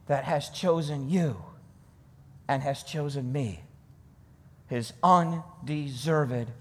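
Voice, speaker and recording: A man preaching a sermon and reading scripture, with emphatic, deliberate phrasing that repeats for weight, recorded with long reverb. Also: a little high.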